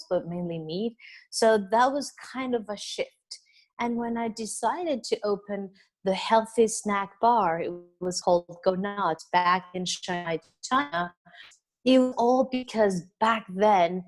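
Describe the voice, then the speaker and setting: Middle-aged woman from Venezuela, slightly low voice, chatty interview guest full of fillers. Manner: chatty and expansive, with frequent "you know" and soft laughs. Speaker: interview guest, wellness business coach. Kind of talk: casual interview conversation.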